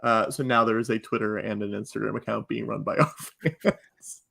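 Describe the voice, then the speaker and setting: A man talking casually as a podcast guest, in a joking, animated way with chuckles slipping into his speech. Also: lowish voice, moderate pace, creaky, slightly rough. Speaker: podcast guest and live improv comedy performer.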